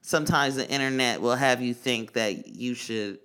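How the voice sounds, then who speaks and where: Bright-voiced casual podcast co-host man. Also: mid-range voice, normal speed, clear, resonant tone. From East Oakland, California. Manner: loose, swearing, storytelling with slang.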